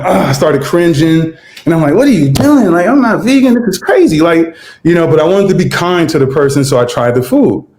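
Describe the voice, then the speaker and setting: A man in his forties, a guest vegan chef, telling his story in a relaxed, easygoing interview chat and acting out his own past thoughts. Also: mid-range voice. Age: 40s. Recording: roomy echo.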